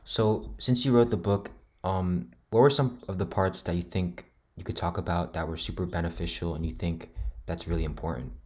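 A man's voice: low-pitched, fairly even intonation, moderate pace, a little mellow. A man interviewing an author, asking brief plain questions.

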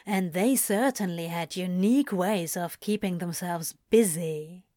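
A woman's voice: fairly low pitch, measured pace, warm tone, dry recording, clean studio sound, natural voice. A woman voicing a casual, teasing male demon who coaxes and tempts, in a story reading.

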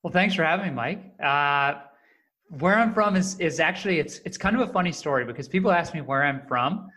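A man in his thirties chats easily as a podcast guest. His voice sits a bit higher than most men's, and he tells his story in a relaxed, joking way. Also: brisk. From Canada. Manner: friendly, jokey, relaxed storyteller. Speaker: podcast guest, startup co-founder. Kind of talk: casual interview answers.

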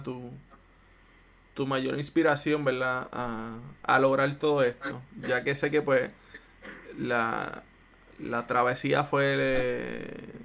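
Male interview host; slow, creaky, gravelly delivery, habitual tag questions. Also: medium pitch, fairly bright tone. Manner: leans on a tag question after every phrase.

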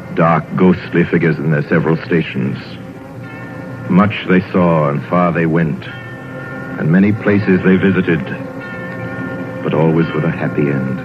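A man narrating a scripted vintage radio drama with measured, solemn phrasing. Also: low-pitched. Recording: muffled vintage radio broadcast, music behind.

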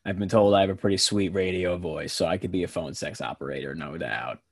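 A young man hosting a casual sports podcast, relaxed and quick to laugh, with plenty of fillers. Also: low-pitched, breathy edge, no echo, clean studio sound. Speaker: podcast host.

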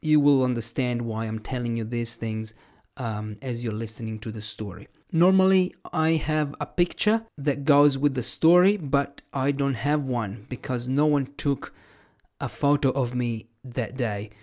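A man in his thirties, a native Italian speaker, hosting a podcast and telling a personal story in a relaxed, measured way. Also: mid-range voice. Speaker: podcast host and English teacher.

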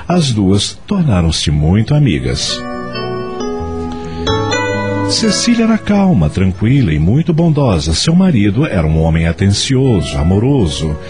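A man's voice: on the low side, wildly swooping pitch, unhurried, over music. A man with a Brazilian accent narrating a scripted radio drama.

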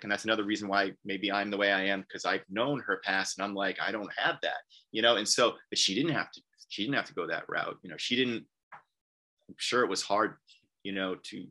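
Low bright-voiced man chatting loosely. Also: poor audio. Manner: rambling, repeats "you know what I mean"; quotes people in acted voices. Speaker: guest. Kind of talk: casual conversation.

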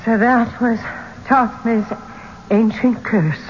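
A woman's voice: on the high side, steady tone, slow pace. An actress playing an adventurous, brooding and curious woman trapped in a tomb, in theatrical, portentous 1970s radio drama style. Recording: dull, noisy old radio broadcast.

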